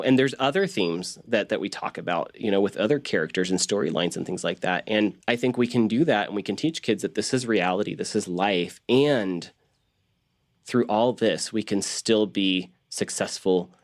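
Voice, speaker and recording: A man talking casually in an interview as a voice actor, halting and repeating words as he explains. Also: on the low side, no room sound, studio quality.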